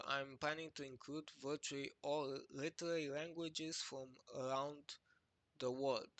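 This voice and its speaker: A man whose first language is Romanian walks through his website at a slow, halting pace, full of uhs and restarts. His voice is bright and forward, close to the mic in a dry, dead room. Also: medium pitch, no room sound. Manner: halting, many fillers and restarts. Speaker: project creator presenting a website. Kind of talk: screen-recorded walkthrough narration.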